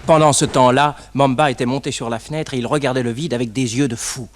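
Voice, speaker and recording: A man's voice: mid-range voice, steady tone, fast talker, heavy background noise, lightly acted. A man narrating a French radio crime drama as a sober storyteller. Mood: grave.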